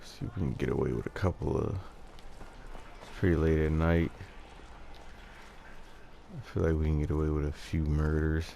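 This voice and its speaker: Deep, gravelly man narrating gameplay. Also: steady tone, warm tone, a little hiss or hum. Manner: unhurried, halting, thinking aloud. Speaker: gamer narrating his own playthrough. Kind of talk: casual game commentary.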